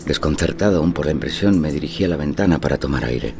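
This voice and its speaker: An actor playing an impressionable gentleman who tells his haunted murder tale in a measured, confiding horror-story manner. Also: very deep voice, animated, creaky, background noise, heavily acted. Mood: unsettled. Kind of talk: dramatized first-person horror narration. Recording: narration over loud background music.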